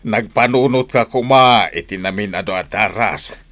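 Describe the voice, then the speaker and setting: A man's voice: lowish voice, moderate pace, a bit bright, dry recording. An actor playing a stern father who lectures his grown daughter reproachfully in a radio drama.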